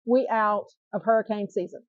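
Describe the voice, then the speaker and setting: A woman's voice: mid-range voice, fairly even intonation, moderate pace, dry recording, phone-quality sound. A woman, hosting a podcast and chatting casually with her guest. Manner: chatty, conversational. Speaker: podcast host and realtor. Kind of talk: casual interview chat.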